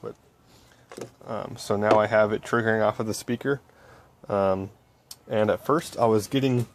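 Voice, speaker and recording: A man's voice: lowish voice, moderate pace, background noise. A man giving a casual, informal update on a hands-on project, explaining things step by step as he works. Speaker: electronics hobbyist.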